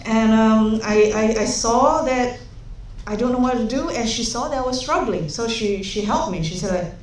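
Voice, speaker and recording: A woman's voice: on the high side, moderate pace, a little hiss or hum. A young woman from Singapore gives a humorous conference talk, telling chatty anecdotes with many fillers. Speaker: conference speaker. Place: large conference hall. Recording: echoey hall, speaker far from mic.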